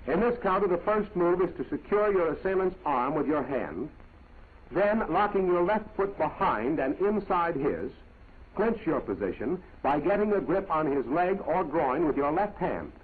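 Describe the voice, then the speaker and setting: A man narrates a 1940s military training film in clipped, commanding steps. His voice sits fairly high and has a husky grain. The sound is thin and narrow, like an old film soundtrack. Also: expressive, normal speed. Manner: clipped, commanding step-by-step instruction.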